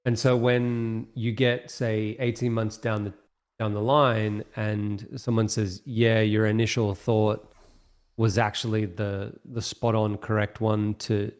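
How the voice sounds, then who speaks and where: A man leading a project, giving thoughtful, measured answers at an online town hall and slowing down to explain things. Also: lowish voice, little pitch movement. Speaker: project lead answering questions.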